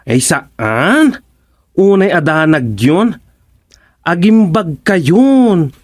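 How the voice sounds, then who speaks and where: A man with an Ilocano accent playing a bossy, unhurried masseur in a radio comedy drama, giving instructions with drawn-out interjections. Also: high voice, very animated, full character voice. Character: bossy, unhurried masseur ordering a patient about.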